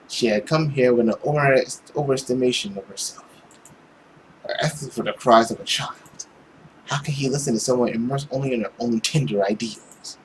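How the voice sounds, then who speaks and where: A man's voice: mid-range voice, a little hiss or hum, rough recording. A man narrating a visual novel aloud in a dramatic storytelling style as he plays it. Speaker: video game let's player.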